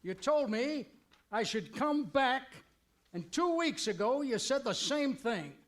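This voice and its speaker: A man's voice: very high voice, lively intonation, takes it slowly, full character voice. An actor playing a cocky, demanding young farmhand boy with folksy insistence in a radio western comedy. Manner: folksy, insistent child-like delivery. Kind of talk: old-time radio comedy acting.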